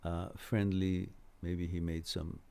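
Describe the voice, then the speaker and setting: A middle-aged man, a native speaker of Farsi, hosts a live Q&A in an informal lecturing style. His voice sits low and has a marked gravelly fry. He explains things haltingly, pausing as he goes. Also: level tone, darkish tone. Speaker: host of a live mental health Q&A. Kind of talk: informal live lecture, answering viewer messages.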